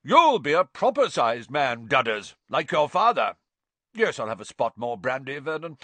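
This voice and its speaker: A man voicing a loud, bullying, drunken middle-aged aunt with a booming, scornful manner. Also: big pitch swings, moderate pace, no room sound. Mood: hearty.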